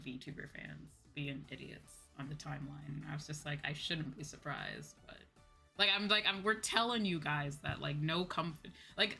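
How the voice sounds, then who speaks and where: A woman's voice: low voice, creaky, pushed and bright. A woman streamer chatting casually and candidly, with emphatic repetition and informal, sweary phrasing. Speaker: VTuber streamer hosting a chat stream. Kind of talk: casual livestream chat. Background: background music.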